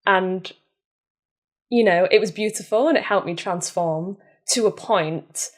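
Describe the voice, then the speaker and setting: A woman from Manchester with a softened Mancunian accent, talking reflectively as a guest in a conversational interview. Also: mid-range voice, a little slow. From Manchester, England. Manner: reflective, soft-spoken, frequent "mm" and "yeah". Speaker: podcast guest.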